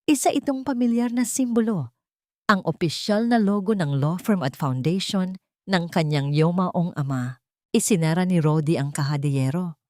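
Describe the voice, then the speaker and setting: A woman's voice: on the low side, moderate pace, a little mellow, no echo, studio quality. A woman reading a scripted dramatic story as its narrator.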